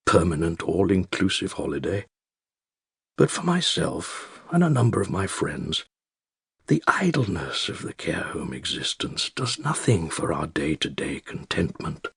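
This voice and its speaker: Husky, deep old British diarist. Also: male voice, fairly bright tone, no echo, heavily acted. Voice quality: gravelly, husky. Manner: dry, wry, measured and articulate. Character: elderly male care-home resident writing a wry secret diary. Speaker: audiobook narrator. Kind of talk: scripted first-person diary narration.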